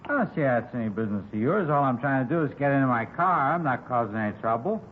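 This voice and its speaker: A man's voice: mid-range voice, animated, fast talker, natural voice. An American man playing a nervy, slightly drunk petty crook who whines and rambles in a 1950s radio drama. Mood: defensive. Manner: whining, defensive, rambling. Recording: noisy narrowband 1950s radio recording.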